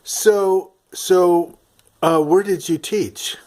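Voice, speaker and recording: A man's voice: sits high, very slow, darkish tone. A man interviewing an older man for an oral history, asking short, prompting questions and murmuring brief acknowledgements.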